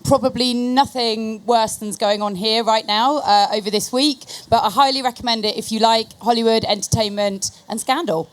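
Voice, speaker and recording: Higher-pitched woman, casual panel guest. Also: fairly even intonation, moderate pace, few pauses. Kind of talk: casual spoken recommendation.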